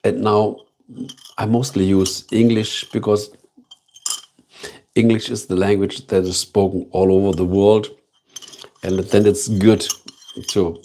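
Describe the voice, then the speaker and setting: A man with a German accent hosting a casual live stream, chatting slowly and thoughtfully with viewers and repeating himself between fillers. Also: deep voice, mellow, a little hiss or hum. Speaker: livestream host.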